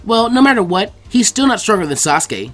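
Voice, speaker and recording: A man voicing a feisty, competitive teenage ninja girl in an anime story, with sharp, indignant retorts. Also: high-pitched, sing-song, fairly quick, faint music behind, pitched above the performer's own voice, full character voice. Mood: huffy.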